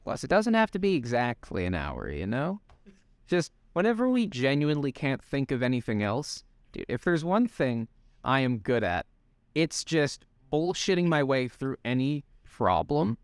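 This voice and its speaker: Lively young man chatting on a podcast. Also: medium pitch, moderate pace, clear, resonant tone, no echo, studio quality. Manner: animated, swearing, restarts mid-sentence. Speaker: podcast co-host. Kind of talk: casual chat.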